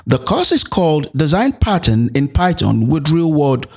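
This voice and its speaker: A man's voice: fairly high pitch, sing-song, normal speed, slightly rough. A man narrating a programming tutorial as an instructor, explaining things step by step. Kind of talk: tutorial narration with code walkthrough.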